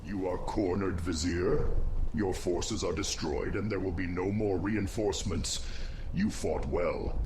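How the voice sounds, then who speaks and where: A man playing a grave, resolute undead insectoid commander, speaking formally and with measured authority in a fantasy game cutscene. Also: sits low, noisy background. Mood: cold, firm.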